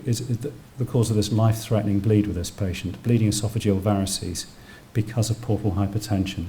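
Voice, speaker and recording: Low, mellow-voiced British man lecturing. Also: slight background noise. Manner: technical, explains terms plainly as he goes. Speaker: doctor lecturing on emergency radiology. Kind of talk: public medical lecture, explanatory. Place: indoor public lecture venue.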